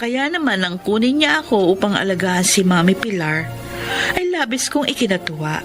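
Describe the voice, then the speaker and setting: An actress with a Filipino accent playing a devoted young nurse, earnest and respectful, in radio drama narration and dialogue. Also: female voice, mid-range voice, big pitch swings, normal speed, music in the background, lightly acted. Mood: earnest, narrating. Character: devoted, lovestruck young nurse telling her story.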